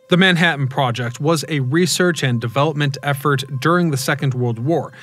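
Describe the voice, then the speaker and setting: A man in his thirties giving scripted documentary narration as a history channel host, in a measured, explanatory way, recorded in a dark studio on a boom mic. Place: dark studio with neon sign.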